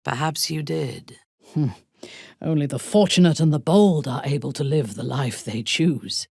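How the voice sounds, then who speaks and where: An actress playing a haughty, ruthless female dark elf warlord in a video game, imperious and formal, with a breathy, husky voice. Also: low-pitched, no echo, studio quality, heavily acted. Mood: dry, composed. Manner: imperious, formal, deliberate, coldly menacing. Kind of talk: video game character dialogue.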